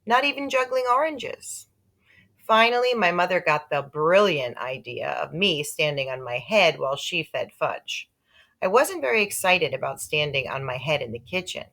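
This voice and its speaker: A woman narrates a children's story as a read-aloud. Her voice sits slightly low but moves around a lot, giving the telling an expressive, animated shape. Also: normal speed, vocal fry. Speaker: story reader.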